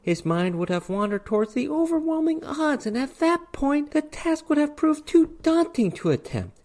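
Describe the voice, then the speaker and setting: A man's voice: very high-pitched, very animated, moderate pace, darkish tone. A man reading a short story aloud as a dramatic narration, in a formal, theatrical storytelling manner. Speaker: story reader.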